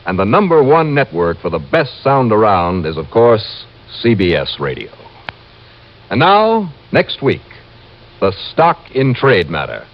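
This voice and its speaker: An actor playing a cool, wry, tough insurance investigator, dry and unruffled, in vintage radio style. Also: male voice, fairly low pitch, wildly swooping pitch, a little slow, full character voice. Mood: smooth announcing. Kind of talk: vintage radio detective drama acting and narration. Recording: muffled, hissy old-time radio recording.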